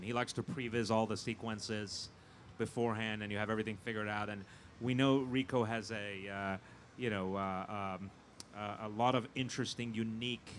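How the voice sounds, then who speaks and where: A man speaking as a guest in an informal panel interview, halting and often repeating his words as he thinks aloud. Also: fairly low pitch, a bit bright. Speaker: film producer and panel guest. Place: fan convention event. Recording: noisy live event with background ambience.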